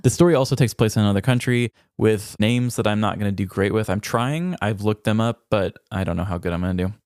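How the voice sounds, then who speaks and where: A man's voice: on the low side, fast talker, darkish tone, no room sound, studio quality. An American man from Missouri co-hosting a podcast, moving between casual chat and scripted storytelling. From small-town Missouri, US. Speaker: podcast co-host.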